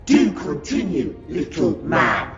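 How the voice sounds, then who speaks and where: A man's voice: on the high side, wildly swooping pitch, takes it slowly, gravelly, exaggerated voice. A man voicing a haughty, contemptuous reptilian Silurian of a royal court in a sci-fi audio drama, declaiming in a pompous, scornful, formal way. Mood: suspicious, disdainful. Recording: dull, echoey, under very loud music.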